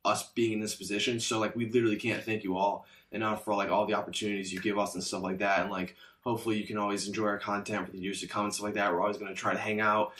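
A young man talks fast and low, rambling on with plenty of fillers in an earnest, casual way. He sits in a hotel room, talking to the camera. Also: level tone, fairly bright tone. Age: young adult. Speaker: fitness creator and guest. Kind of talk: casual vlog talk to camera.

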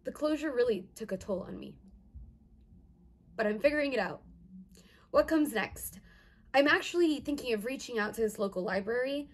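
A woman's voice: on the high side, lively intonation, normal speed, pauses often, slight background noise, natural voice. A woman in her twenties playing an earnest young community organizer in a conversational first-person monologue. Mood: hopeful. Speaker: actor in a dramatized monologue. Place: indoors, plain room.

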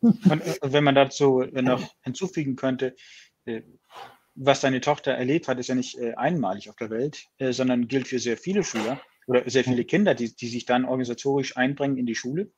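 A man's voice: medium pitch, brisk, darkish tone, husky, dull audio. A man who is not a native speaker, talking hesitantly and reflectively on a video call from a room at home. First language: not German. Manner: hesitant, restarts words, reflective. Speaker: guest. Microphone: video call webcam.

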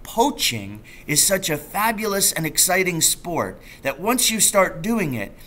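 Man reading as a lively, roguish storybook dad. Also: high-pitched, unhurried, pitched above the performer's own voice, full character voice. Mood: excited, relishing. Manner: relishing, emphatic storytelling. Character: enthusiastic, roguish father, a proud poacher telling tales.

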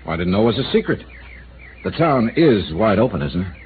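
A wry, dogged insurance investigator with a very deep voice that swings freely up and down, pressing every question with dry wit. It comes through a tinny, narrow old radio broadcast with a faint hiss. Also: male voice, very animated, fairly quick. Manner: dry, wisecracking, persistent questioning. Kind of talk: 1950s radio drama acting.

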